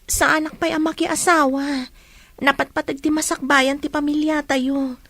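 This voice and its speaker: A woman's voice: high voice, little pitch movement, moderate pace, fairly bright tone, no echo. A woman playing a dutiful, earnest young female student with an Ilocano accent. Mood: sincere.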